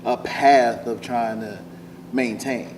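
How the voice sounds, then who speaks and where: A man's voice: medium pitch, steady tone, slow pace. Distant man's voice over noise. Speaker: actor being interviewed. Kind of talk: casual interview talk. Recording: noisy room, distant microphone.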